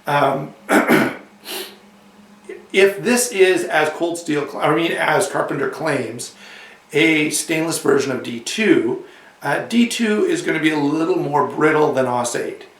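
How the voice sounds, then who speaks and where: A man with a cold and a slightly hoarse voice, casually reviewing a knife to camera, rambling with restarts and asides. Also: fairly high pitch, slow, fairly bright tone, roomy sound, recorded from a distance.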